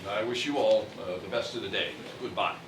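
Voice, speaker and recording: An actor playing a gruff, proud toy merchant turning soft-hearted, speaking formally and haltingly in a stage musical. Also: male voice, fairly low pitch, background noise, close to an everyday voice. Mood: sheepish, halting. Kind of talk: theatrical stage musical acting. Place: theatre stage, distant microphone. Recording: echoey stage recording from far off, music behind.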